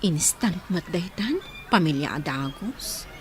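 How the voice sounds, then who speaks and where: Lively Ilocano woman played by a woman, bashful sweetheart. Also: on the low side, measured pace, a little hiss or hum. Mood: teasing reluctance. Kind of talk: Ilocano radio-style drama acting.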